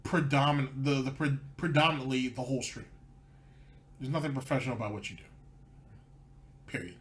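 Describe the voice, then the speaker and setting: A man rants through his commentary with a sarcastic, mocking edge. His voice sits at an ordinary pitch. A faint hiss of background noise runs beneath him. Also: steady tone, fairly quick. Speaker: commentary channel host. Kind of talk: ranting commentary over clips.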